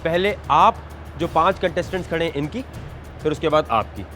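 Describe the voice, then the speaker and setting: A man's voice: fairly high pitch, fairly quick. A man hosting a dare reality show, stern and mocking toward the contestants. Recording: music loud behind the voice.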